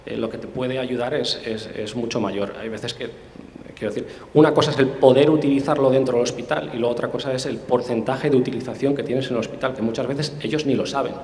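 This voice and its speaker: A man's voice: mid-range voice, fairly even intonation, brisk, darkish tone, slight background noise. A man from explaining things as an interview guest, talking thoughtfully with frequent hesitations and restarts. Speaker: interview guest, tech specialist. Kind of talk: conversational explanation. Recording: roomy hall with long reverb.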